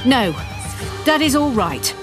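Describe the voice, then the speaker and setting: A woman voicing an anxious, brisk, long-suffering middle-class mother in an audiobook story. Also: high voice, very animated, over music, voice pushed up, exaggerated voice. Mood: sharp, muttering.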